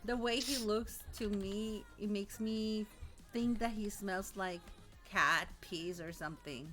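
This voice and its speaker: A woman's voice: mid-range voice, slow, fairly bright tone, faint music behind. A woman chatting with friends on a voice call, blunt and teasing. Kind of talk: casual chat.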